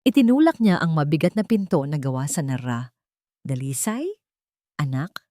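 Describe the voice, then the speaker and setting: Low-voiced woman narrating a dramatic story, dry sound. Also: sing-song, fairly quick, dark tone, no room sound.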